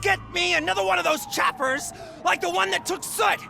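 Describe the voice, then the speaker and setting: An actor playing a desperate male gunman holding a hostage in a video game cutscene, yelling in a high, strained voice. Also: fairly even intonation, brisk, creaky, over music, exaggerated voice. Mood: frantic threatening.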